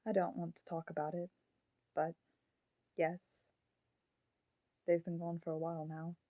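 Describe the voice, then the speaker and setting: A woman voicing the grown-up ghost of a murdered child in a puppet, speaking haltingly and solemnly in an audio drama. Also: fairly low pitch, flat delivery, warm tone, no room sound, close to an everyday voice. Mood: evasive.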